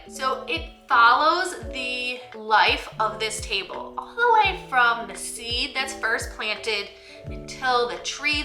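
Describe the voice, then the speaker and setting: Female book-channel host, enthusiastic and expressive, fairly high voice, faint music behind. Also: reverberant. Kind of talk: scripted-from-notes book review vlog addressed to viewers.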